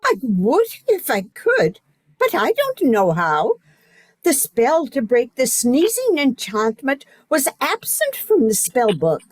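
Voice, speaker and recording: A woman's voice: sits high, huge pitch swings, slow pace, dry recording, heavily acted. A woman playing a stern, indignant noble lady and mother in a comic radio play, theatrical and exclamatory. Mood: dismayed.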